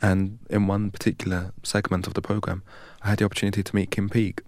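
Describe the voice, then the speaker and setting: A man from England, a guest in an interview, telling his life story in a measured, precise and earnest way. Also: lowish voice, monotone, darkish tone, no room sound. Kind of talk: reflective personal storytelling.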